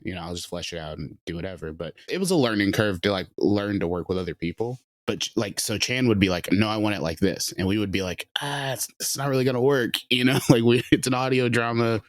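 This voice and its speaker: A male writer chats casually in a podcast interview, peppering his talk with "like" and "you know". His voice sits low. The sound is dry and close. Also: dry recording.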